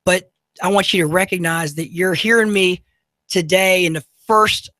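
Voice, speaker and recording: High-voiced guy in his 30s hosting radio, pumped up and motivational, lots of ums, dry studio mic. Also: normal speed, sharp tone, no room sound. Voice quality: high, unusual voice often mistaken for a woman. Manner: upbeat, motivational, many fillers. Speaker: radio show host. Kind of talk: talk radio monologue with ad reads. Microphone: studio microphone.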